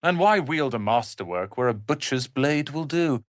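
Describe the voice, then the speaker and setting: A man voicing a proud, sharp-tongued young wizard's apprentice and protective brother in a fantasy video game, articulate and theatrical. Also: mid-range voice, animated, normal speed, no room sound, studio quality, natural voice. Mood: haughty, composed.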